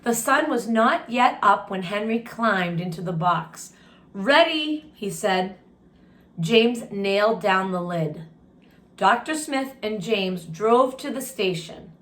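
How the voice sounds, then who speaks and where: A woman reading a children's story aloud expressively, deliberately pausing to comment and put questions to young listeners. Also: medium pitch, fairly bright tone. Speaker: storytime reader and teacher.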